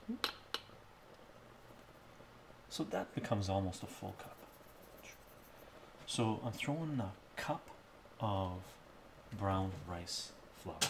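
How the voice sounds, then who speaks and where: A man's voice: fairly low pitch, gravelly, heavy background noise. A middle-aged man chatting casually to viewers while cooking in his home kitchen, moving toward and away from a fixed camera mic and often asking "right?". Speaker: cooking streamer. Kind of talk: casual live-stream cooking chat. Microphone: fixed camera mic, moves toward and away from it.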